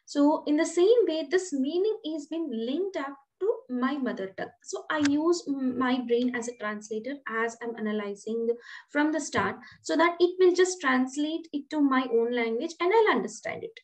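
A woman's voice: sits high, normal speed. A woman teaching programming in an online class, explaining step by step and asking the students questions. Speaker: programming instructor. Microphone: webcam on a video call.

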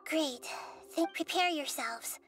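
A woman voicing a gentle, wise, youthful girl deity in a video game, soft, measured and earnest. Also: sits high, music in the background. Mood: composed, instructive.

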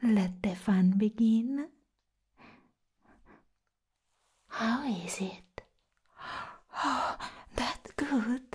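A young woman playing a mad, playful villainess in a breathy, whispered ASMR roleplay, teasing and menacing. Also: fairly high pitch, extremely slow, a little mellow, heavily acted. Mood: playful, scheming. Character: mad, playful female comic-book villain, giddy and menacing.

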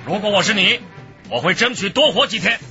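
A man's voice: high voice, sing-song, brisk. An actor playing a decisive male police squad leader in a radio drama, speaking with clipped authority. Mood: tense, forceful. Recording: muffled radio drama with music underneath.

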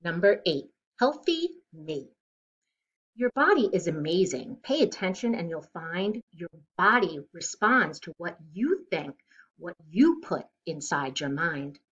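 Animated middle-aged woman reading a storybook. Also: lowish voice, a little slow, breathy edge, dull audio. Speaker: children's storybook reader. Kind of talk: read-aloud picture book narration. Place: living room at home, indoors.

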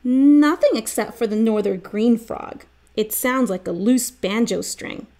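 A woman's voice: fairly high pitch, unhurried, mellow. A woman reading the part of an enthusiastic, emphatic young boy in a children's storybook. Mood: eager. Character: eager young boy obsessed with frog sounds.

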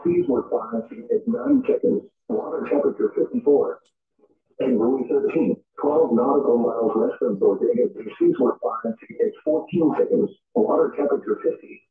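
A synthetic man's voice reads a scripted weather forecast over the radio in formulaic, clipped phrases. The pitch is fairly high and swings very widely. It sounds dull, played back from a radio speaker in an echoey room. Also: huge pitch swings, normal speed, creaky.

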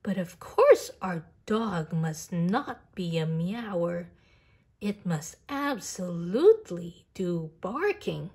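A woman voicing a whimsical, insistent little girl from a picture book, earnest and emphatic with drawn-out words. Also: fairly low pitch, big pitch swings, darkish tone, husky, heavily acted. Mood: excited, emphatic.

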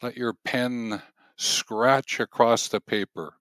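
A man narrating nature walking activities as a host, gently guiding in measured, deliberate phrases with pauses. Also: mid-range voice, fairly even intonation, no room sound.

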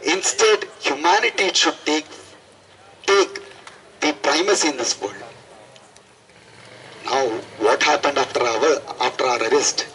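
A man's voice: a little high, unhurried, pauses often, bright, forceful tone, slight background noise, rough recording. A young man with an Indian accent giving an impassioned protest speech into a handheld microphone, emphatic and repeating phrases for effect. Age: young adult. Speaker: student activist. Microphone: handheld microphone through a PA.

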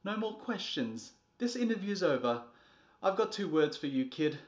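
A man in his thirties doing a comedic, theatrical storybook reading, moving between a soft bedtime tone and exasperated delivery. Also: high voice, sing-song, moderate pace. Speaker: presenter reading a picture book. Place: small furnished nursery room indoors.

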